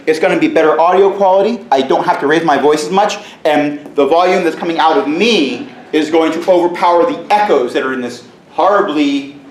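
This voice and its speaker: A middle-aged male teacher giving a conference presentation in a loud, booming voice with joking asides, recorded from far off in a large room. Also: roomy sound, slight background noise. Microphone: camera mic from far off.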